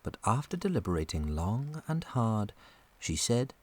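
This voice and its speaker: A man narrating a scripted children's story as an expressive storyteller. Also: fairly low pitch, a little slow.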